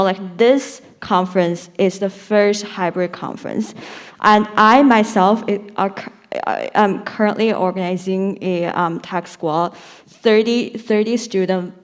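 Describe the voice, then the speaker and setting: A young woman, a junior scholar, speaking casually on a conference panel and often saying "like". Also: mid-range voice. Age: young adult. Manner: casual, frequent "like" fillers.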